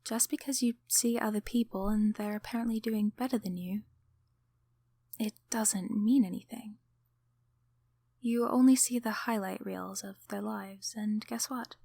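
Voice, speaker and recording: A woman playing a gentle, apologetic sleep paralysis demon in an ASMR roleplay, soft-spoken and often whispering reassurance. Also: medium pitch, steady tone, unhurried, vocal fry, dry recording. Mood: warm, encouraging. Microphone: close microphone.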